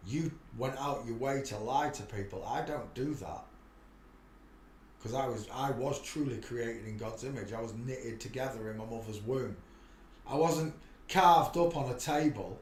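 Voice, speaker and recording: A man hosting a live stream, talking in a preachy, rambling monologue with frequent restarts and sudden loud bursts. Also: medium pitch, unhurried, echoey room, a little hiss or hum. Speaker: livestream host.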